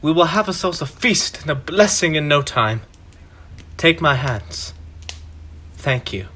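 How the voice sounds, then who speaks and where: A young man playing a humble, gentle poor father who speaks warmly to his family and leads a prayer. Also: a little high. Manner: gentle, warm-hearted, prayerful. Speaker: student actor.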